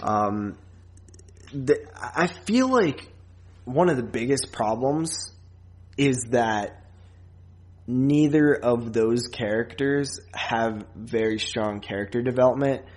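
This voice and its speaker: Male podcast co-host, casual film-review chat, loose and rambling, mid-pitched voice. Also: lively intonation, slow pace, darkish tone. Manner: rambling, frequent filler words, casual swearing.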